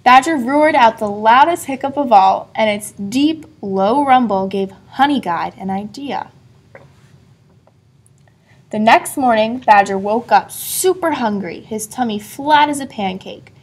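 A woman reading a children's story aloud expressively, pausing to put questions to a young listener. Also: on the high side, husky. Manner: asks a child engaging questions. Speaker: storybook reader.

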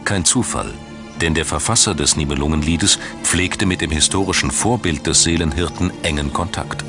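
Male documentary narrator, very deep register, scripted delivery over prominent music. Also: moderate pace.

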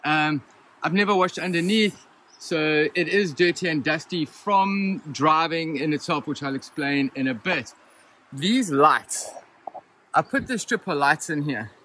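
A man's voice: a little high, measured pace, sharp tone, a little hiss or hum. Chatty man, handheld phone. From Southern Africa. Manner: chatty, rambling, with frequent ums and restarts. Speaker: vlogger reviewing his rooftop tent. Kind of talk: casual hands-on gear review. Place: inside a rooftop tent, then outdoors beside a vehicle in the bush. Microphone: handheld phone camera at arm's length.

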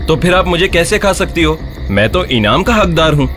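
A man voicing a brave, clever young village husband, polite and persuasive, in an animated story. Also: on the high side, moderate pace, over music.

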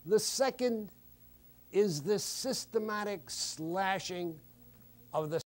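Casting: man in his sixties, high-pitched voice, unhurried lecturer's delivery, analytical and dryly ironic commentator. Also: lively intonation. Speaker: media critic and presenter. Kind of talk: professorial commentary on newspaper articles. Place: small TV studio set crammed with newspapers and magazines.

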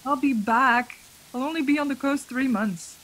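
A woman's voice: high-pitched, moderate pace, fairly bright tone. An actress playing a frightened young traveler who insists she is sane, in a suspense radio play, hesitating and repeating words. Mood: reassuring.

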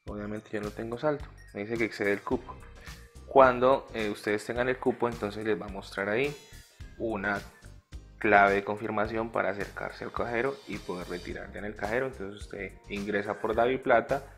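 This is a Colombian man with a fairly deep voice and a strong gravelly creak. He talks as a tutorial host, guiding listeners one step after another. Faint music plays behind his voice-over. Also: fairly even intonation, normal speed, a bit bright. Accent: Colombian Spanish. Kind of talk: step-by-step app tutorial narration.

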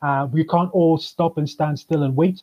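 A man's voice: on the high side, fairly even intonation. Man on a muffled video call. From Kenya. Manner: measured, explanatory, with frequent ums. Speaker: guest, chamber of commerce manager. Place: indoors, plain room. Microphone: webcam on video call. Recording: dull lo-fi video call audio.

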